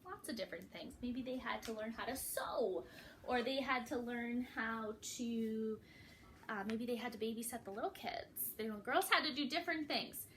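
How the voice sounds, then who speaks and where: A woman's voice: a little high, normal speed, a bit bright, a little hiss or hum. A woman reading a picture book aloud to young children, expressively, with dramatic emphasis and questions put to the listeners. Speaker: children's read-aloud storyteller.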